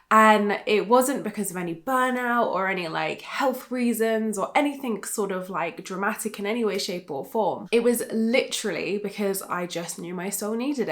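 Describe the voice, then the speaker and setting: A thirty-year-old woman talking straight to her audience in a relaxed, bubbly vlog chat. Her voice sits in a middle pitch and carries a slight nasal edge from a cold she is getting over. She fills her sentences with easy "like"s and "um"s. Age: 30s. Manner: chatty and bubbly, many fillers like "like" and "um". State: recovering from a cold. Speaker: life coach and YouTuber. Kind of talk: casual vlog chat to camera.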